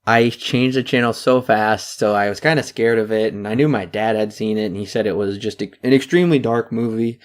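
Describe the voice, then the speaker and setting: A man's voice: lowish voice, fairly quick, hardly any pauses. A young man co-hosting a podcast in casual chat, talking steadily and reeling off dates and figures. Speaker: podcast co-host.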